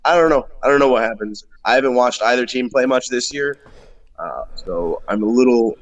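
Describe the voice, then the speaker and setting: A man's voice: medium pitch, lively intonation, normal speed. Hesitant male sports guest, dull lo-fi audio. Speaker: guest panelist. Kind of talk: casual sports discussion. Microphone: remote livestream call.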